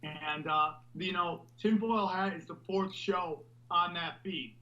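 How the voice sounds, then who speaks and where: A man hosting a podcast, talking loudly and animatedly in a rambling, casual way. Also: high-pitched, slow pace, muffled recording. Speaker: podcast host.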